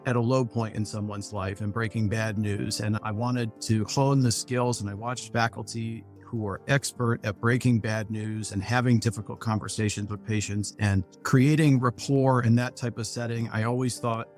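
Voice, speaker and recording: A man's voice: lowish voice, fairly even intonation, normal speed, hardly any pauses, faint music behind. A man speaking as a physician on a panel over a video call, talking in long, reflective sentences. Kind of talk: measured professional talk.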